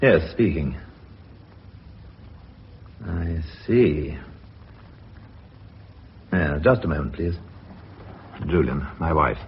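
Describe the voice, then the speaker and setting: An actor playing a dignified older company chairman in a British radio drama, speaking formally and deliberately in a British accent. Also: extremely low pitch, expressive, full character voice. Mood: troubled, restrained. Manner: formal, deliberate, measured delivery. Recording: muffled radio drama recording, faint hiss.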